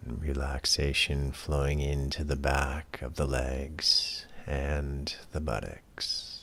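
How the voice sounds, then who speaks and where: A man leading a guided relaxation as a yoga teacher, speaking in a calm, measured, soothing way with long pauses between phrases. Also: rumbling low, slightly rough.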